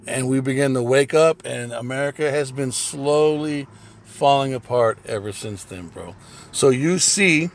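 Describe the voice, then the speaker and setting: A man giving a blunt, profane religious commentary in a casual ranting style, swearing heavily. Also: mid-range voice.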